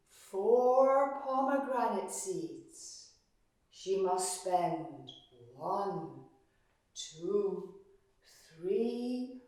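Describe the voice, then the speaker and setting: Woman voicing a slow, solemn underworld god, echoey. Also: mid-range voice, a little mellow, pitched below the performer's own voice. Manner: slow, deliberate counting out of terms. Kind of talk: oral myth storytelling. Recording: echoey room, distant microphone.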